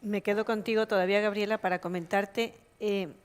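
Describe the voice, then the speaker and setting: A woman's voice: mid-range voice, steady tone, brisk, clear, resonant tone. A woman from Ecuador moderating a conference panel, asking long, winding questions full of fillers. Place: conference hall. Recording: long hall reverb.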